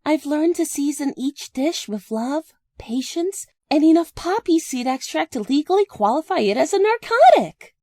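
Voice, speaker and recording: A woman playing a sweet-talking, slyly passive-aggressive ex-partner of a soldier in a comedic game parody, prim and breezy with dark understatement. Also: high voice, sing-song, normal speed, hardly any pauses, dry recording, clean studio sound. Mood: sweetly sly.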